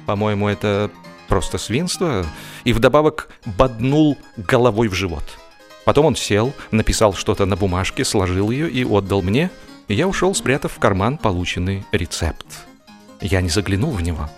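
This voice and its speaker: Male storyteller, lowish voice, theatrical comic first-person narration, acts out hesitations and dialogue, faint music underneath. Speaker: narrator of a comic audio drama.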